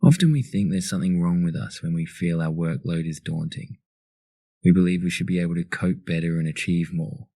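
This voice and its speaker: A man leading a guided meditation in a calm, soothing, instructional manner. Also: sits low, brisk, no echo.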